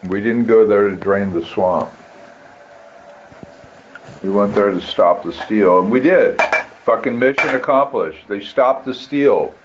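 A man's voice: fairly low pitch, a little slow, pauses often. A man ranting informally about politics in a blunt, profane, emphatic way over a push-to-talk voice chat app. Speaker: participant in a political radio chat channel. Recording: muffled walkie-talkie app audio with background noise.

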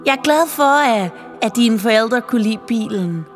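A high, coaxing voice of a strange, pushy saleswoman in a pink wig, as a woman storyteller puts her on, wheedling and selling hard. The voice rings in a long, hall-like reverb, with soft music under it. Her manner is sugary and insistent. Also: lively intonation, natural voice.

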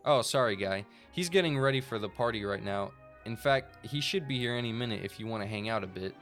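A man voicing a calm, apologetic alien bug henchman in a story, casual and placating. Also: on the low side, a little fast, soft background music. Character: laid-back, apologetic alien bug henchman at office party.

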